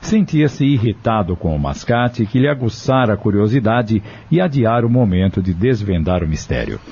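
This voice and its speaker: A Brazilian man with a husky voice, reading scripted narration for an audio drama. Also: on the low side, expressive, moderate pace, a little mellow. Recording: dull lo-fi audio.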